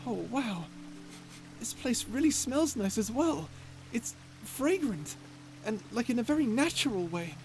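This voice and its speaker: Voice actor as a shy, slow-talking octopus creature. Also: medium pitch, big pitch swings, a little mellow, slight background noise. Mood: shy, thoughtful. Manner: timid, stammering, hesitant. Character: shy, gentle, curious octopus traveller. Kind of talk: ASMR story roleplay acting.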